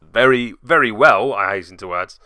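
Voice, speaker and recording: A man's voice: on the low side, moderate pace, bright, forceful tone, no room sound, studio quality. A man giving casual commentary as host of a tabletop game report, with a wry, self-deprecating manner.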